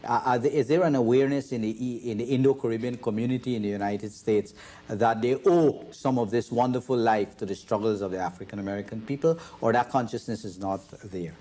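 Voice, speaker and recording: A man's voice: medium pitch, steady tone, a little fast, mellow. A man hosting a panel discussion, asking long, probing questions and often restarting his sentences. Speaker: host and moderator.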